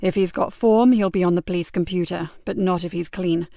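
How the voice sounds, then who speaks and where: A woman voicing a kind male police sergeant who speaks in a calm, low, reassuring way. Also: brisk, creaky, no echo, clean studio sound.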